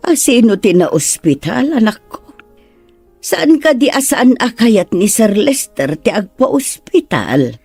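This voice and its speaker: A woman playing a gentle, concerned middle-aged mother in an Ilocano radio drama, with a husky voice. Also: fairly high pitch, sing-song, a little slow, no echo, soft background music, heavily acted. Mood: concerned, tender.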